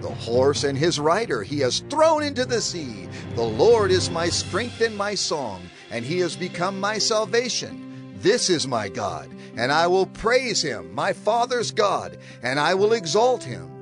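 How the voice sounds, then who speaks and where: A high male voice declaims praise as an exultant worshipper. The delivery is fervent and very animated, set over prominent music in a scripture drama. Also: unhurried.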